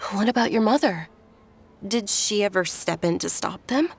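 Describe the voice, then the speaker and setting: A caring young noblewoman in a video game. Her medium-pitched voice is gentle and considerate, with formal, earnest phrasing. Soft music sits behind it. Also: expressive, normal speed, gravelly. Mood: sympathetic.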